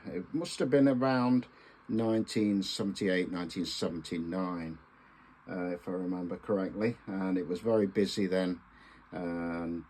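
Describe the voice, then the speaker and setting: A man in his sixties giving an informal hands-on demonstration as a radio hobbyist, in a halting, deliberate manner with frequent pauses. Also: deep voice, a little mellow, a little hiss or hum. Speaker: amateur radio hobbyist presenting a video.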